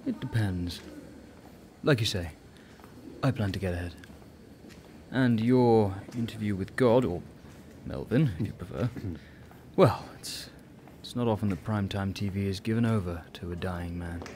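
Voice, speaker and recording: An actor in a radio play playing an ambitious young privately schooled receptionist, polite and slyly insinuating. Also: male voice, lowish voice, measured pace, a little mellow, a little hiss or hum, lightly acted. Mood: sly, knowing. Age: young adult.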